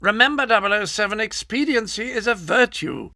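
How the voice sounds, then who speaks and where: Casting: exasperated British spy quartermaster for a video game, very high bright voice, scolding and pompous. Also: male voice, lively intonation, brisk, no echo, studio quality, exaggerated voice.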